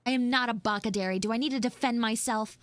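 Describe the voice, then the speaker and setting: A woman voicing a defensive, flustered tsundere anime schoolgirl who acts mean but is secretly soft, in an improvised livestream roleplay. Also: a little high, no echo, close to an everyday voice. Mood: indignant. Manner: defensive, pouty, halting and repetitive. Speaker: livestreamer and VTuber voice actress. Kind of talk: improvised live audio roleplay with chat asides.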